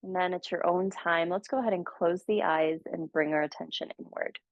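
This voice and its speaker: Gentle low gravelly woman guiding meditation. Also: fairly even intonation, no echo. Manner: slow, gentle, soothing guidance with long pauses. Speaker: meditation teacher. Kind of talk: guided meditation. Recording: dull, muffled audio.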